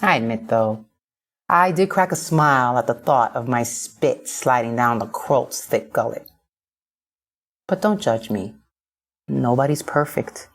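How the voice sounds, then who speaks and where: Casting: female audiobook narrator, low register, expressive first-person fiction read with dramatic flair. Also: unhurried.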